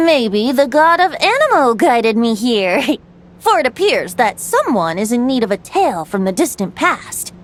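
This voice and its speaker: A woman's voice: fairly high pitch, very animated, measured pace. A woman voicing a playful young male bard who tells tales in a lilting, lyrical way. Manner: lilting, teasing, then lyrical storytelling. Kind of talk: video game voice acting.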